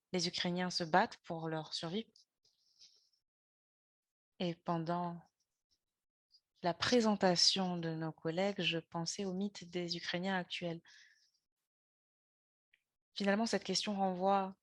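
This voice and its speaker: A woman presenting in a webinar over a video call, speaking haltingly with frequent fillers and self-corrections. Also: on the low side, fairly even intonation, dry recording. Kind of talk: spoken lecture in a webinar.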